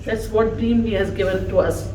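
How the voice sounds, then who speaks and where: A woman whose first language is Urdu delivers an emphatic, affectionate farewell tribute at an easy, deliberate pace. She is recorded from across an echoey office room with faint background noise. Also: mid-range voice, far from the mic. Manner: slow, warm, emphatic, laughing between phrases. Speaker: speaker at a farewell gathering. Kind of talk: heartfelt tribute speech.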